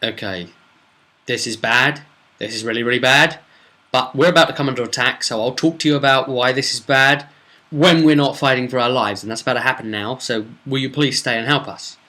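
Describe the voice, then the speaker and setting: A man voicing a worried high priest in a tabletop fantasy game, urgent and pleading. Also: a little fast, bright, forceful tone, lightly acted. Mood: urgent, alarmed.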